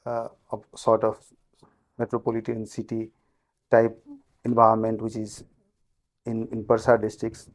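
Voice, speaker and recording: A middle-aged man from Nepal, a company finance chief, answering interview questions in a measured way with frequent restarts. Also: fairly low pitch, completely flat delivery. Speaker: guest, group CFO.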